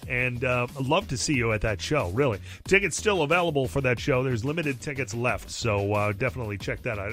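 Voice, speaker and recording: A man's voice: mid-range voice, a bit bright. Male radio DJ talking over music. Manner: conversational, emphatic, enthusiastic promotion. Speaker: radio DJ, music station host. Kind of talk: upbeat music radio DJ patter between songs. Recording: radio broadcast with music behind.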